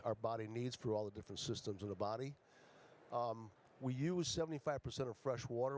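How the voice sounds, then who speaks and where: A man from Ecuador giving a conference pitch as a startup founder, speaking haltingly with many fillers and restarts. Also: a little mellow, slight background noise.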